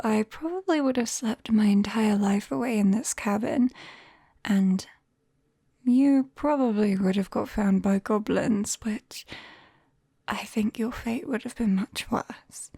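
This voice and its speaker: A British woman playing a clumsy, caring young witch in an ASMR roleplay, whispering softly and hesitantly, close to the mic. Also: medium pitch, no room sound. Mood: coaxing, caring. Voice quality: soft, breathy whisper. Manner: hesitant, coaxing, gently apologetic. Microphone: close binaural microphone.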